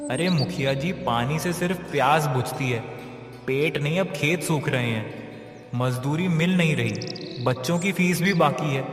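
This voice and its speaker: A man's voice: on the high side, measured pace, natural voice. A man playing a poor, worried village farmer husband, humble and plaintive, in a cartoon moral story. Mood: worried, pleading. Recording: echoey reverberant voice over loud music.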